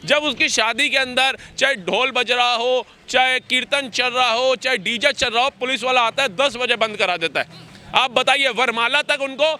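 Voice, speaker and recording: A man with a very high, piercingly bright voice makes his case in a street interview. He is combative and emphatic, pressing his points with one rhetorical question after another. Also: moderate pace. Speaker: interviewee giving political opinions. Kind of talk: impassioned street interview argument.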